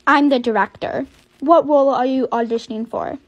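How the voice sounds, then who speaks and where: A woman voicing a brisk, polite film director giving instructions. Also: high voice, steady tone, dry recording, lo-fi sound. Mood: businesslike.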